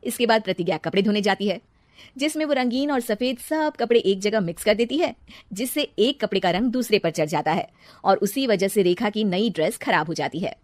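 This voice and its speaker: A woman's voice: medium pitch, lively intonation, fairly quick, no echo. Close, dry-sounding woman storyteller. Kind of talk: scripted narration of Hindi family moral stories.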